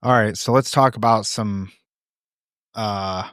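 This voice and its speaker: A man's voice: fairly low pitch, takes it slowly, fairly bright tone, no room sound, clean studio sound. A man with a Pittsburgh accent hosting a casual podcast interview, joking and teasing his guests and laughing at his own lines. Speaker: podcast host. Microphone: studio podcast mic with headphones.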